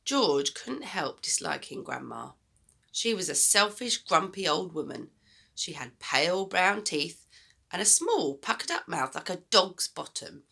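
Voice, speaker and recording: Very animated woman reading a story. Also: on the low side, measured pace, creaky. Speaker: teacher reading aloud. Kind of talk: expressive children's story narration.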